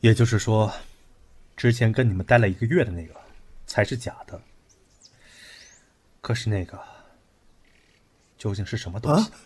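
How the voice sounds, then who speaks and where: An actor playing a calm, analytical young man in an audio drama, speaking in a measured, matter-of-fact way. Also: fairly low pitch, breathy edge. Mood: grave.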